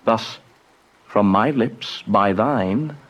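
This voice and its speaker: Lovestruck young gentleman, unhurried. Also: on the low side, highs cut off, heavily acted. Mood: tender wooing. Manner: reverent, poetic delivery. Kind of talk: Shakespearean radio drama acting.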